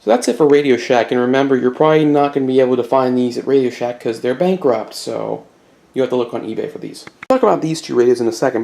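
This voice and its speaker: A man's voice: medium pitch, mellow. A man hosting an informal collection showcase, explaining items casually and giving buying tips. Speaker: YouTube collector and host.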